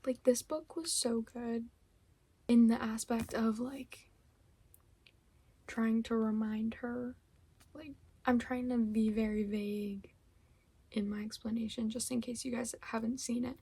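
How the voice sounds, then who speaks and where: A young woman, a little hoarse from losing her voice, chatting casually about books she read, rambling with lots of fillers and giggles. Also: on the high side, fairly even intonation, measured pace, long pauses, mellow, dry recording. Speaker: book vlogger. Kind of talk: casual book wrap-up chat.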